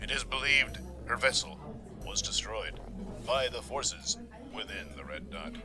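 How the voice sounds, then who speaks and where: A man's voice: medium pitch, animated, a little slow, over music, lightly acted. Man doing a dramatic, swooping news-flash announcer. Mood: urgent, dramatic. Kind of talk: melodramatic broadcast news parody.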